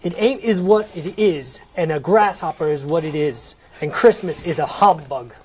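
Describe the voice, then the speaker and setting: A man's voice: a little high, normal speed, darkish tone, slight background noise, lightly acted. A man reading the part of a stingy, cold miser in a comic table read, curt and dismissive. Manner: curt, dismissive, dryly haughty.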